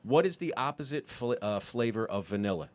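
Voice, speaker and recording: A man's voice: mid-range voice, animated, a little fast, a little mellow. A man as a podcast guest, laid-back, making wry quips. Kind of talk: casual podcast banter.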